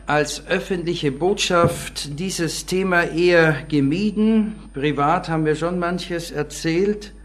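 A man preaches with earnest conviction as a guest speaker, his voice sitting a little higher than most men's. He builds his points steadily and stops to read press quotes aloud. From born in the city of the talk. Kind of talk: sermon.

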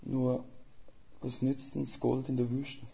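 An older man delivering a sermon in a thick Swiss German dialect, his voice gravelly and mellow. He talks plainly and folksily, with homely images and rhetorical questions. The recording sounds a little dull and lo-fi. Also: medium pitch, completely flat delivery, slow. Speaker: guest preacher; missionary.

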